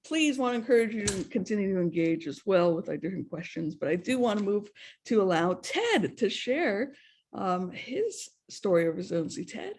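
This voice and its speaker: A moderator guiding a panel discussion, asking gentle, curious questions with many fillers. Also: medium pitch, big pitch swings, normal speed.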